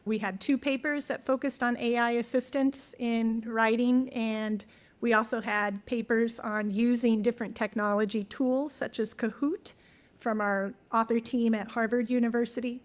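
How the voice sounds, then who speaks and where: A woman's voice: a little high, fairly even intonation, normal speed. A woman guest editor explaining her special issue in an organized, enthusiastic way during an interview. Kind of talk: academic interview.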